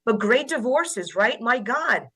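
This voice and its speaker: Bright-voiced woman attorney. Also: a little high, animated, slow, slightly rough. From Poland. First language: Polish. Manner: enthusiastic and talkative. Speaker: family law attorney guest. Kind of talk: interview. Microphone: video call.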